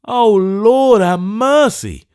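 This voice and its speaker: A man voicing a choleric, frightened old gentleman who pleads in a shrill, frantic way. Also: lively intonation, measured pace. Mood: pleading, indignant. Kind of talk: audiobook character voice.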